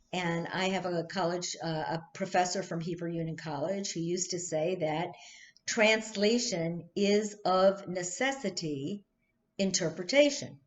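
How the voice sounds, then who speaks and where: Woman, teacher, measured and unhurried, thoughtful with many ums, muffled recording. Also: lowish voice. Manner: slow, thoughtful, with frequent ums and restarts. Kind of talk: online text study lesson.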